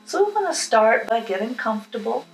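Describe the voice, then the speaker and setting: A woman leading a guided meditation with affirmations, speaking in a calm, unhurried, instructional manner. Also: fairly high pitch. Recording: roomy echo with music behind.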